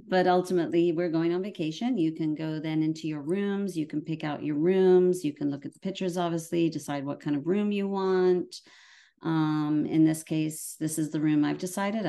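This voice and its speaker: Irish woman, low mellow voice, casual webinar presenter, halting with many ums. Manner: hesitant, many fillers and restarts. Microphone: video call.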